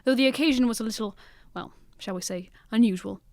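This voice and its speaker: A woman acts as a retired older detective in an audio drama, brisk and commanding with an affectionate streak. The speech is quick and formal, in a fairly high voice with very little room sound. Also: a little mellow, dry recording, clean studio sound, natural voice. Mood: fond. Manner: formal, clipped old-fashioned phrasing.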